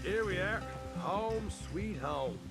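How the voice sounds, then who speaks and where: Very high-pitched for a man, this excitable outlaw gunman whoops, swears and hollers like a rowdy troublemaker. Loud music plays right over him, as in a western video game. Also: slow pace, vocal fry, heavily acted. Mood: winding down. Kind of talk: video game character acting.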